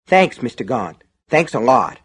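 A man voicing a troubled, gullible small-town man in an audiobook, agitated and halting, with an unhinged edge. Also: medium pitch, very animated, husky. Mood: grateful.

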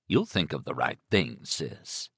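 A man's voice: extremely low pitch, very animated, measured pace, fairly bright tone, slightly rough, dry recording, clean studio sound, pitched below the performer's own voice, exaggerated voice. A man voicing a menacing male killer who taunts and commands in coaxing, sadistic slang. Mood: coldly coaxing.

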